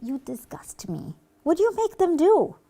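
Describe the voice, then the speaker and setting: A woman voicing an earnest, pious good character in a children's puppet show, hesitant and gently reproachful. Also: very high-pitched, wildly swooping pitch, normal speed, full character voice. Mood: disapproving.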